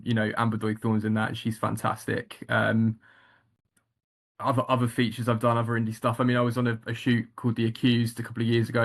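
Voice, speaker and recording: A male actor answering interview questions casually, hesitant with many ums and restarts. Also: mid-range voice.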